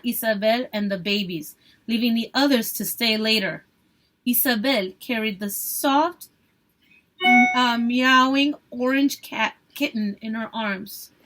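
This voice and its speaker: Female read-aloud teacher, high-pitched and crisp-edged, expressive narration with explanatory asides to pupils. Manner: expressive, pausing to question listeners. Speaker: teacher reading a novel aloud.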